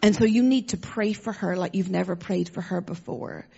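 A woman's voice: mid-range voice, moderate pace, vocal fry, mellow, husky, dull audio. A woman with an Irish accent, a guest preacher giving a conversational sermon, chatty and joking with frequent fillers. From Ireland.

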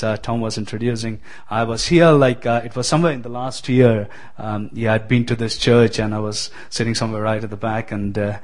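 Keralan man preaching with many pauses. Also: on the low side. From Kerala, India. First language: Malayalam. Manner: hesitant, many fillers and restarts, friendly. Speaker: guest preacher. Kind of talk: sermon.

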